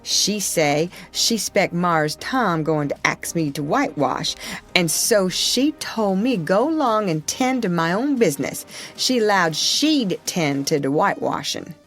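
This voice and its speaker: A woman's voice: lowish voice, expressive, takes it slowly, fairly bright tone, soft background music. Woman doing a timid, hesitant errand boy. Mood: reluctant.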